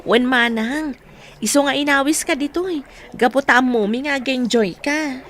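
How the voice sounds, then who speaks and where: A woman's voice: high voice, moderate pace, fairly bright tone, a little hiss or hum. A woman with an Ilocano accent playing a kind, sympathetic friend who encourages others in a Filipino radio drama. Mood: cheerful, supportive.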